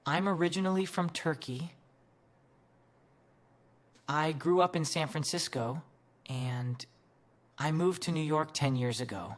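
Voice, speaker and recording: Woman, student, slow, lowish voice, careful, earnest. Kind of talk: scripted classroom dialogue.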